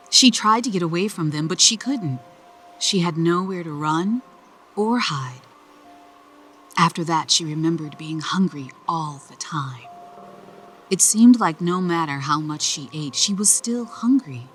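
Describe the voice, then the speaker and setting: Lady reading a kids story slow and gentle, kinda low voice, faint music. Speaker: narrator. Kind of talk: children's read-aloud narration.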